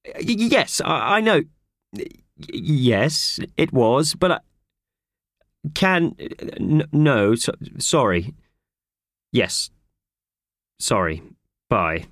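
Harassed, fretful storybook everyman, acted by a male reader, pitch leaping about wildly. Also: very animated, extremely slow, long pauses, a bit bright, dry recording, clean studio sound, exaggerated voice. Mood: panicked, apologetic. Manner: fretful, spluttering. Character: flustered, exasperated man, easily panicked.

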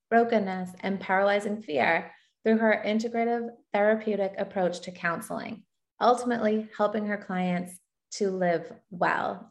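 A woman hosting an interview podcast, introducing her guest and affirming what she says in a friendly way. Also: medium pitch. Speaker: podcast host.

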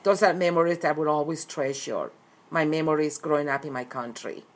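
A woman's voice: low-pitched, fairly quick. A middle-aged woman from Colombia, speaking earnestly and often repeating herself as an interviewed author. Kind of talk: recorded interview.

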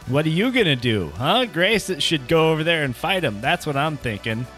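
A man's voice: fairly high pitch, big pitch swings, normal speed, fairly bright tone, faint music behind. An American man hosting a podcast, rambling through jokey opinions on the news and tossing rhetorical questions at listeners. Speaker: podcast host. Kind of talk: casual comedic news chat.

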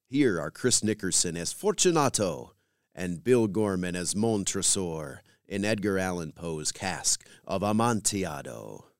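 A man's voice: low-pitched, no room sound, studio quality. A man with an American accent reading scripted introductions as a podcast host.

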